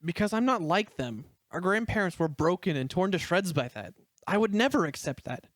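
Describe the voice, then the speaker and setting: A man's voice: high voice, expressive, normal speed, a bit bright, dry recording. A man playing an earnest, humble, brooding teenage brother in an amateur fan-fiction audio story. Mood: vehement, bitter.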